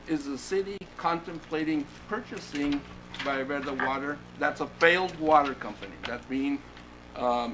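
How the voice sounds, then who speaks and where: A man serving as city manager, answering questions at a council meeting in a measured, explanatory way. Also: on the high side. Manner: measured and explanatory, with fillers and restarts. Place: council chamber. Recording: noisy meeting-room recording.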